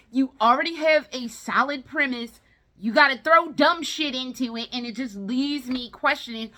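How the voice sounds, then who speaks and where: A woman's voice: high-pitched, pushed and bright. A woman giving a casual, ranting movie review as a vlogger, blunt, exasperated and emphatic, swearing heavily. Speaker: video game and movie vlogger.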